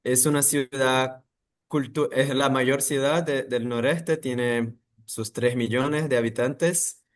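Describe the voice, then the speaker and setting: Man speaking spanish, halting. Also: medium pitch, fairly even intonation. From Brazil. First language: Portuguese. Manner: thoughtful, halting, many fillers and restarts. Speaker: architect guest. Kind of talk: interview conversation.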